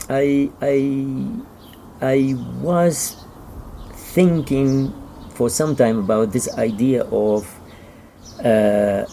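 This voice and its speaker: A middle-aged man with a Kurdish accent, a native Kurdish speaker, giving halting, conversational interview answers as he searches for words. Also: medium pitch, mellow, slight background noise, poor audio. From Iraqi Kurdistan. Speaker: author and publisher, interview guest.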